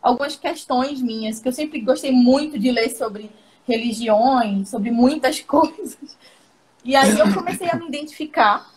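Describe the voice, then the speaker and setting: A woman's voice: high voice, a little slow, rough recording. A woman tells her story casually to an interviewer, often laughing. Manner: chatty, laughs while telling stories. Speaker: interview guest. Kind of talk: casual storytelling on a video call.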